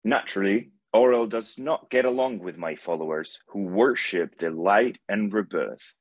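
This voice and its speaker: A man playing a solemn, benevolent god of light with a grave, measured delivery in an animated fantasy table read. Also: on the low side, no room sound. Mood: grave, narrating.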